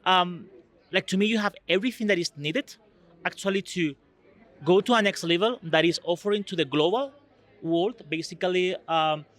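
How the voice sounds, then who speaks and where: Earnest man, fairly high voice. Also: moderate pace, a bit bright. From Spain. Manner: earnest, searching for words, non-native phrasing. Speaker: EU delegate guest. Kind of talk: conversational interview answers.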